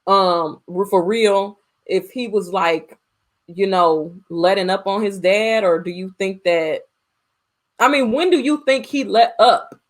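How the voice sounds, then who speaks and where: A woman's voice: mid-range voice, measured pace. Blunt, colloquial American woman podcaster. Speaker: podcast co-host reviewing films. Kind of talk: casual chat, film discussion.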